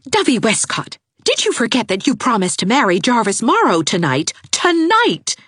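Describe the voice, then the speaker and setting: Woman reader doing brisk sharp-tongued young woman, sarcastic, commanding, lively pitch, dry close sound. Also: husky, no echo, heavily acted.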